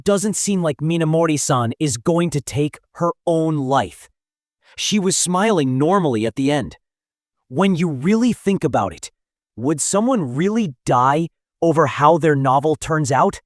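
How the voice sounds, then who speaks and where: A man plays an awkward teenage schoolboy in an anime-style story dub. His delivery swings widely in pitch, hesitant and earnest, as if thinking aloud. The recording is dry. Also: expressive, measured pace, no echo. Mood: pensive. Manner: hesitant, musing to himself. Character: anxious, awkward teenage schoolboy loner, earnest and easily flustered. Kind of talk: anime-style dubbed story acting with inner monologue.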